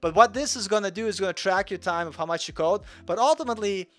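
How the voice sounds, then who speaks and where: A man's voice: high voice, fast, fairly bright tone. A young man from Russia giving a casual, rambling live coding walkthrough as the show's host, chatty and self-deprecating. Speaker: coding tutor.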